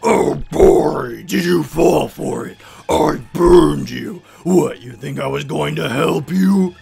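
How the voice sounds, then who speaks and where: Man voicing a gleefully wicked, gravelly game demon. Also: a little high, soft background music, exaggerated voice. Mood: gloating. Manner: theatrical, gloating, drawn-out cackles and shouts. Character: gleefully wicked cursing demon trapped in a box. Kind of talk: fan-dub game character voice acting.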